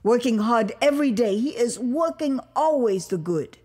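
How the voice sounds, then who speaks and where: A woman's voice: on the high side, animated, moderate pace, a little mellow, slightly rough, voice pushed up. A woman voicing a proud, earnest Liberian government man in an audio drama, with a Liberian accent and an emphatic, formal way of repeating himself. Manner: emphatic, repetitive, addressing listeners formally.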